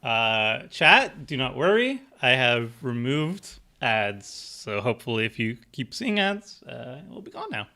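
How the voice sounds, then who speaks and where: A man hosts a card game livestream in a bright, forward voice. He chats loosely with plenty of fillers and laughs easily. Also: mid-range voice, big pitch swings, measured pace, hardly any pauses. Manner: chatty, filler-heavy, laughs often. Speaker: stream host. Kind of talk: casual livestream chat.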